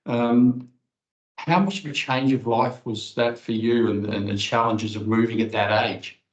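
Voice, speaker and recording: A man conducting an interview with prepared questions in a formal, careful, slightly halting way. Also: mid-range voice, fairly even intonation. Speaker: interviewer, organisation CEO. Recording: dull, lo-fi audio.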